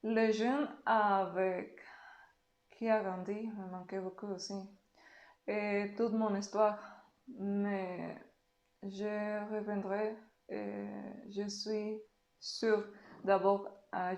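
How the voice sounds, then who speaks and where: Mexican-accented woman, non-native, slow and careful interview answers, roomy sound. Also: mid-range voice, flat delivery, darkish tone. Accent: Mexican Spanish. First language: Spanish. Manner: slow, careful speech with pauses.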